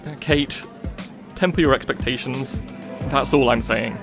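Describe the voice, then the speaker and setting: A man acting a dry, good-humoured police officer bantering casually in an audio drama, measured and teasing. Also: medium pitch, gravelly. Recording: music behind the voice.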